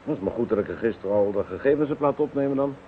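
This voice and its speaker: An actor playing a shrewd, courteous police inspector who probes with dry irony in an old radio play. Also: male voice, medium pitch, expressive, very fast, slightly rough, narrow, tinny audio. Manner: probing, courteous, dryly ironic. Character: shrewd, polite, needling male police inspector. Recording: faintly noisy, thin old radio recording.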